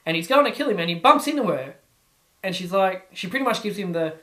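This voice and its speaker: A man's voice: high voice, animated, fast talker, sharp tone. An Australian man co-hosting a podcast, telling things in a casual, informal way with some swearing. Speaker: podcast co-host. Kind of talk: casual storytelling chat. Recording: echoey room.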